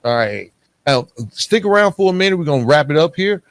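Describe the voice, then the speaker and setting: A man's voice: fairly high pitch, expressive, fairly quick. An American man hosting a podcast, speaking in a casual, colloquial way as he questions a guest and addresses listeners. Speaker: podcast host. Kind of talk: casual podcast interviewing and sign-off.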